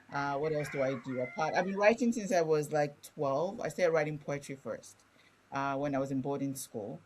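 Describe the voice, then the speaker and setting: A woman's voice: rumbling low, a little mellow, poor audio. A middle-aged woman from Nigeria with a Nigerian accent, speaking candidly as a guest author and explaining things in a conversational way. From Ibadan, Nigeria. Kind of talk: conversational interview.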